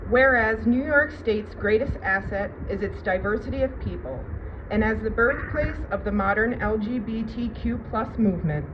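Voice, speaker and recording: A woman's voice: fairly high pitch, moderate pace. A woman delivering a formal public speech at a ceremony, reading out an official proclamation. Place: outdoor public ceremony at a city hall. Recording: noisy outdoor public-address recording, muffled, traffic.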